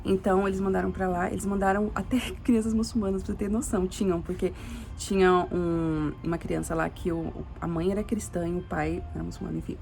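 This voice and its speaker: A woman's voice: lowish voice, fairly even intonation, fairly quick, creaky, darkish tone, soft background music. A young adult Brazilian woman telling a personal story in a casual, rambling way, restarting often and adding tag questions. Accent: Brazilian Portuguese. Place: living in Sweden.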